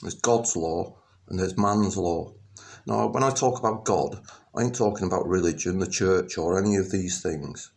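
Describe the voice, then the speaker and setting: Halting, low-voiced man rambling. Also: gravelly. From England. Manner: halting, many ums and restarts, sudden emphatic outbursts. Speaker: vlogger. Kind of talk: rambling personal monologue.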